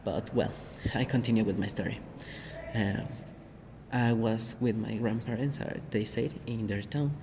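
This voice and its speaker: A young man from Mexico speaking English with a Mexican Spanish accent, telling a story in a casual podcast chat with many "uh" pauses. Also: on the low side, fairly even intonation, noisy background. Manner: halting, many "uh" fillers. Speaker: podcast co-host.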